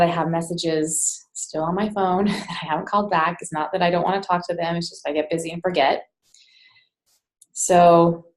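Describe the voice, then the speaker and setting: Female trainer, slightly low voice, conversational and direct, instructional delivery in an echoey room. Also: a little mellow. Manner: conversational, direct address with rhetorical check-ins. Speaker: sales trainer. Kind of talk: informal instructional presentation. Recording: roomy, echoey sound.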